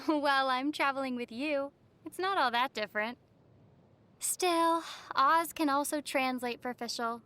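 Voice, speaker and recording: A woman voicing a young female traveler in a video game, calm and playfully teasing, with wry delivery. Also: high voice, measured pace.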